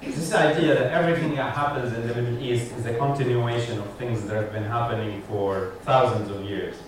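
A man's voice: mid-range voice, steady tone, a little fast. Wry Lebanese man lecturing in echoey hall. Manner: wry, joking asides to the audience. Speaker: guest speaker, satirist. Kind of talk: humorous public lecture. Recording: echoey hall, distant mic, audience noise.

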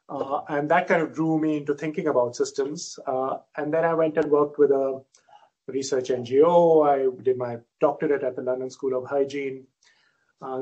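Middle-aged male professor from India, husky mid-pitched voice, easygoing lecture delivery. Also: rough recording. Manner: relaxed, self-deprecating, many fillers. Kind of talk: academic lecture.

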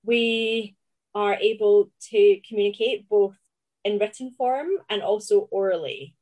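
A woman with a Glaswegian accent, speaking as a classics researcher in a chatty, animated interview and laughing often. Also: mid-range voice, a little slow. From Scotland. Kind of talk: conversational podcast interview.

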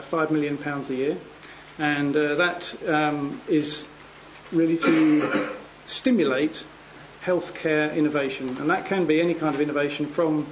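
A man's voice: fairly high pitch, highs cut off. Male conference chair, hesitant delivery, light hiss behind. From England. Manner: hesitant, many ums and restarts. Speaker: panel chair. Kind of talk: conference panel introduction. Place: conference hall.

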